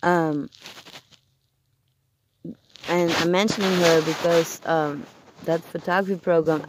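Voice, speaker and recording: Hoarse, halting vlogger. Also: mid-range voice, a little mellow, slight background noise, poor audio. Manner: halting, hesitant, searching for words. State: hoarse, sick. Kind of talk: casual video check-in.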